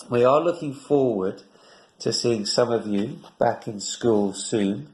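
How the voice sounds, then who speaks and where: A man's voice: mid-range voice, dark tone, poor audio. A man from a school staff, speaking encouragingly to young pupils and their parents.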